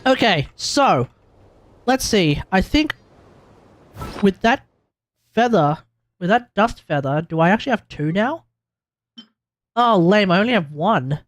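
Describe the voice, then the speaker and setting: High-pitched Australian man livestreaming. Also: lively intonation, a little slow, long pauses, no room sound. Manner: blunt, rambling, emphatic, slangy, thinking aloud. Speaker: livestreamer, gaming content creator. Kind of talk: casual livestream commentary. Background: music in the background.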